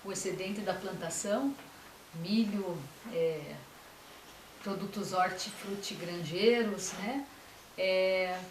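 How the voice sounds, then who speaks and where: A woman gives a talk on local history, speaking in short phrases with many fillers. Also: medium pitch. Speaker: author giving a talk. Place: lecture room. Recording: echoey room, mic from far off, faint hiss.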